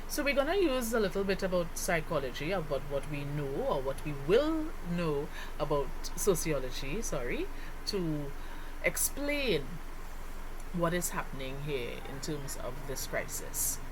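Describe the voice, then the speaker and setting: Trini lady teacher explaining stuff, slow pace but super animated pitch, noisy recording. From Trinidad and Tobago. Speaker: sociology teacher. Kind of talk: explanatory lesson voice-over. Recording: clearly noisy background ambience.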